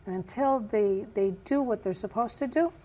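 A woman with a raspy voice, chair of a community group, answering interview questions earnestly with many pauses and fillers. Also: mid-range voice, mellow. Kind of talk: news interview guest.

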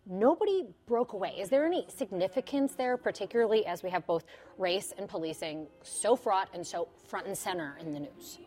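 A woman hosting a local news review program, reading headlines and then putting measured questions to a panel of journalists in a studio. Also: mid-range voice, lively intonation, darkish tone, soft background music. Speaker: news show host and panel moderator. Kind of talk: broadcast news moderation.

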